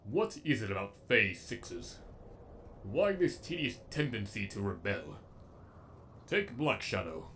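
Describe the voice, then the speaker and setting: A man's voice: lowish voice, sing-song, slow, a bit bright, a little hiss or hum, exaggerated voice. A man voicing a sadistic, erudite robot villain with an eloquent, menacingly polite delivery, as in a comic dub. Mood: mocking, menacing.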